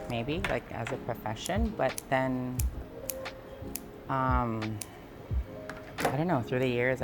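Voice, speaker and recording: A woman from Guam talking casually and reflectively in an interview, candid and conversational, with emphasis on key words. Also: rumbling low. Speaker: interviewee, freelance designer and builder. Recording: background music under the voice. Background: music.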